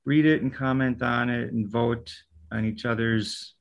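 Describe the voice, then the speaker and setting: A man's voice: medium pitch, steady tone, unhurried, vocal fry. A man, a guest musician, telling his story hesitantly in a casual interview, with many "um"s. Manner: hesitant, starts with "um" and "I don't know".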